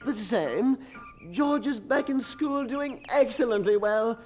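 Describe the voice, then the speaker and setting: An actor playing an approving, good-humoured schoolmaster in a radio drama, speaking in a formal, measured way. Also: male voice, extremely high pitch, lively intonation, soft background music, heavily acted. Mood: earnest, pleased.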